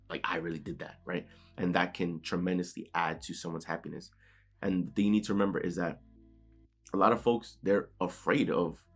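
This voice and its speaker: A young man with a New York accent giving an unscripted motivational talk from his bedroom, casual, often tagging "right?" and sometimes stuttering. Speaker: motivational YouTuber. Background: low background music.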